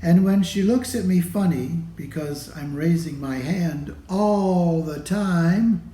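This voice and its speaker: A man reads a children's storybook aloud in a mellow, slightly high voice. He takes his time, leaving deliberate pauses between phrases. The sound is roomy and echoey. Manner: deliberate, pausing between phrases to show pictures. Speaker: storybook reader. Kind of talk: read-aloud of a children's picture book.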